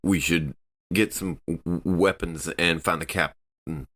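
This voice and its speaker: A man's voice: low-pitched, steady tone, unhurried, fairly bright tone. A man playing an anxious, hesitant spaceship crewman in a tabletop role-playing game. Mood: worried.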